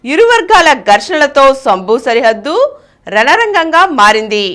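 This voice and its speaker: Bright, lively-pitched woman reading news. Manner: measured newsreader delivery with headline emphasis. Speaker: news reader. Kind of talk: read news bulletin.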